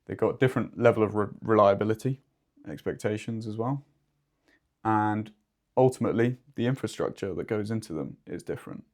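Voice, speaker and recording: A man co-hosting a business discussion as an expert, explaining in a measured, wordy, conversational way. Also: lowish voice. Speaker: podcast co-host and two-way radio expert.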